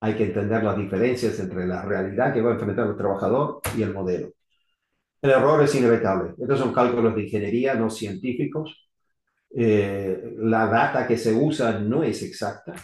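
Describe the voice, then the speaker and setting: A middle-aged man from Argentina, now living in the US, giving an unscripted technical talk on electrical safety in halting, rusty Spanish full of restarts and fillers. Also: fairly low pitch, a little mellow. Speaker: electrical safety engineer presenting. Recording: echoey room.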